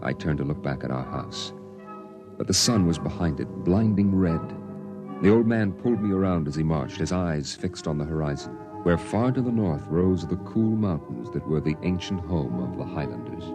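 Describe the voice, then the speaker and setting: A man playing a somber storyteller recounting his boyhood on Mars, in measured, dramatic first-person narration for a vintage radio drama. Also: very deep voice, expressive. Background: dramatic music.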